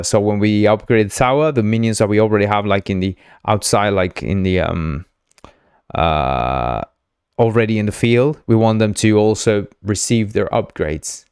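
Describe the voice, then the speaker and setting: A man with a low, smooth, resonant voice, and Spanish is his first language. He chats casually through a live coding stream, thinks out loud, repeats phrases and jokes with his viewers. The sound is close and dry, with no room around it. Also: no echo. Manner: chatty, thinks aloud, repeats himself, playful teasing of the chat. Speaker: game developer streaming live. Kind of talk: casual livestream coding chat.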